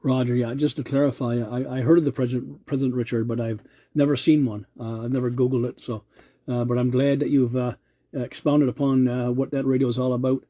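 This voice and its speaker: A man's voice: mid-range voice, little pitch movement, fairly quick, warm tone. A middle-aged man from Canada chatting casually as a radio hobbyist, rambling a little and using radio lingo.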